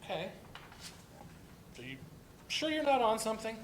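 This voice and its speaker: A man's voice: high-pitched, sing-song, moderate pace. Awkward, caring young male friend played by an actor, natural delivery for a play reading, slight background noise. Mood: worried.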